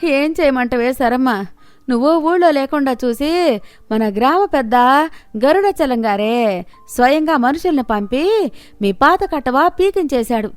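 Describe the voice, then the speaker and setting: A woman's voice: high voice, lively intonation, a little slow, clear, resonant tone, no room sound, soft background music, voice pushed up. A woman voicing a resigned, gossipy village neighbour in a storybook tale, homely and emphatic.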